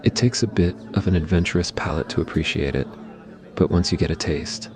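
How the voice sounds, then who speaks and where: Very deep, smug mafia boss lover played by a man. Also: a little fast, a crowd in the background, close to an everyday voice. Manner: soft, teasing, reassuring. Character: smug, teasing, affectionate mafia boss on a date. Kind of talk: romantic ASMR roleplay dialogue.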